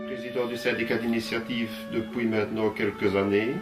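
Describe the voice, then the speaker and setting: Man, easygoing interviewee; recorded far off in an echoey space, music clearly behind. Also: fairly low pitch, level tone, a little fast. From Belgium. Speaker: tourist office president. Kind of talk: documentary interview. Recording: roomy, distant mic with music.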